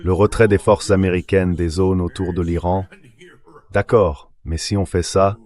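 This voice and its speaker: A man's voice: sits low, steady tone, moderate pace, a little mellow, lightly acted. A man's synthetic dubbing voice, speaking as a guest giving translated interview commentary.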